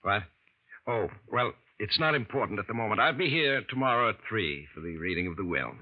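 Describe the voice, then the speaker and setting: An actor playing a suspicious older estate lawyer in a 1940s radio drama, formal and probing, on a dull old broadcast recording. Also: male voice, lowish voice, animated, fairly quick.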